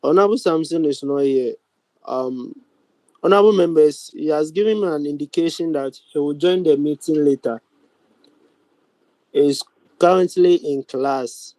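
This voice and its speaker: A young man with a Ghanaian accent and a slightly high, mellow voice presides over a formal meeting on a video call. He speaks deliberately, stopping often between short phrases. The sound is dry and close. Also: no echo, poor audio. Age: young adult. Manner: deliberate, halting, with many pauses. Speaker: speaker of a youth parliament. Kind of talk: formal chairing of a virtual meeting.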